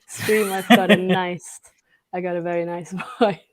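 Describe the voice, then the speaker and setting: A young woman with a raspy voice, hoarse from a cold, chatting as a guest on an online stream. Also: on the low side, fairly even intonation, normal speed. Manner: laughs between phrases, self-deprecating. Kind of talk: casual online chat.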